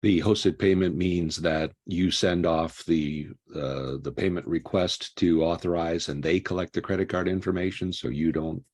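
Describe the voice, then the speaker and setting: A man's voice: sits low, steady tone, moderate pace, hardly any pauses, a little mellow. A man taking part in a casual technical discussion on a call. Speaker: participant in a tech call.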